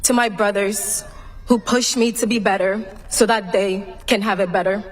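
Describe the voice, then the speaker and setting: A young woman from Cameroon delivers her graduation speech as class president, reading it in an earnest, measured way. Her voice sits at a medium pitch, and her delivery stays formal and steady. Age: young adult. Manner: earnest, measured, read from a prepared text. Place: large graduation venue.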